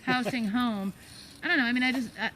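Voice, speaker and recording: Hesitant American woman, slightly high voice. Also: quick pace, a crowd in the background, rough recording. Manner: hesitant, self-interrupting. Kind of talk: casual, unscripted discussion.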